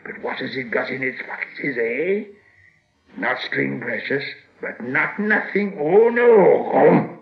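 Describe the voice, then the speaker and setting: A man's voice: medium pitch, expressive, takes it slowly, gravelly, muffled recording, soft background music, pitched above the performer's own voice. A man voicing a nasty, wheedling cave creature in a storybook, hissing and shrieking, muttering to himself in broken grammar. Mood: sly, hissing. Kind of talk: storybook character voice.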